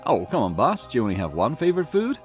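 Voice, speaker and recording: Man playing a young subordinate detective, cheeky, enthusiastic, swooping intonation, quick talker, visual novel dialogue, music underneath. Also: medium pitch, wildly swooping pitch, darkish tone, pitched above the performer's own voice. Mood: eager. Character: eager, cheeky junior male police detective. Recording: music behind the voice.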